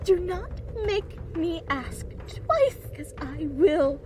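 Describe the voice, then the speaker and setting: A playful yet menacing Fae hound executioner speaks very high and slowly, swinging widely in pitch. She mocks and growls with theatrical relish in this fantasy audio drama. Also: sing-song, mellow, slight background noise, heavily acted. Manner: mocking, theatrical, growling threats.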